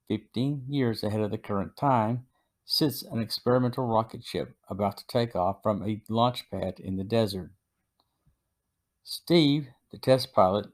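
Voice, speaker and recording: Man reading a podcast review. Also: on the low side. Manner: steady, even read-aloud delivery. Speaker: podcast host. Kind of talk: scripted episode review.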